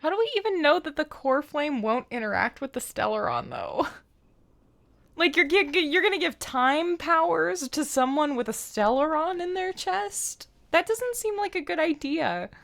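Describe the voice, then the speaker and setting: A woman's voice: high voice, lively intonation, normal speed, gravelly, a bit bright. A woman streamer giving casual, playful, reactive live commentary on a video game, often repeating words.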